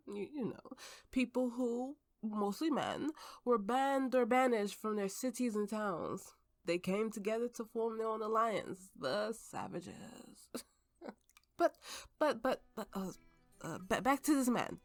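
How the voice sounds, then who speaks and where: A woman playing a tipsy, haunted woman with magical powers, telling her story slowly and haltingly in an intimate ASMR roleplay over music. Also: on the high side, lively intonation, dry recording. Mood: rambling. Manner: halting, drunken rambling with frequent laughs. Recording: voice over soft background music.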